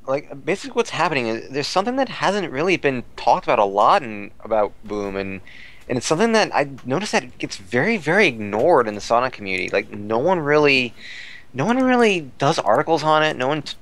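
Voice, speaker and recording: A man with a clean, smooth voice at an ordinary middle pitch chats as a podcast commentator. He rambles through fan news, circling back over his phrases as he looks for the next word. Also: big pitch swings, a little fast, a bit bright. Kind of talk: casual fan news discussion.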